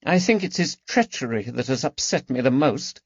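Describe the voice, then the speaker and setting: A distressed aristocratic lady in her forties, theatrical and tremulous, as a male narrator pitches his voice high and loud to put her on. Her lines rise with distress. The recording is dry and slightly dull. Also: very animated, a little slow, no echo, heavily acted. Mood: bitter, distressed.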